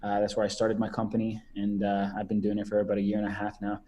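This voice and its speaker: Low, flat-voiced young man rambling. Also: quick pace, muffled recording. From Canada. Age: 20s. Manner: rambling with many fillers and repeated words. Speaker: podcast guest, entrepreneur. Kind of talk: casual interview over video call. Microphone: video call.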